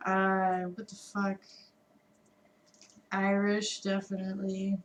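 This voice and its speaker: American woman livestream co-host, slow and deadpan, roomy echo. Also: mid-range voice, slight background noise. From United States. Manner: offhand, dry, swears casually. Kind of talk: casual chat. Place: home streaming studio.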